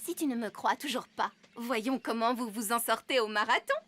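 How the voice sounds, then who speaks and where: A woman voicing an eager, warm-hearted young deer-girl in a cartoon dub, with an emphatic, self-assured delivery. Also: on the high side, normal speed, close to an everyday voice. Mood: defensive, assured. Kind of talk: French cartoon dubbing. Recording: music behind the voice.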